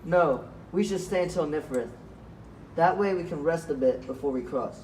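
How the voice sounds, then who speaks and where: A man as higher-voiced caring rabbit leader, echoey. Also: normal speed, a little mellow, lightly acted. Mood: earnest. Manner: earnest, concerned, questioning. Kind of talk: amateur student puppet show dialogue. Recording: echoey, noisy room, far from mic.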